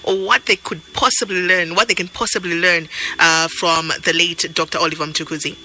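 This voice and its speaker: A woman's voice: fairly low pitch, fairly even intonation, fairly quick, pushed and bright, a little hiss or hum, rough recording. A woman hosting a radio interview, asking prompting, encouraging questions. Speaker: radio host.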